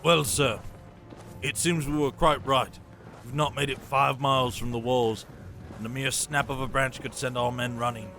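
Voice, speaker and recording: A man playing a bright, brisk army sergeant. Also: medium pitch, steady tone, faint music behind. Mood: dry, respectful. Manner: formal, clipped military address. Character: brisk, dutiful, dryly frank male army sergeant. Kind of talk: fantasy audio drama acting.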